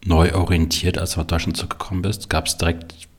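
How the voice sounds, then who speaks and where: A man hosting an interview, asking questions in a relaxed, informal way. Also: deep voice, brisk. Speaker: interviewer, podcast host.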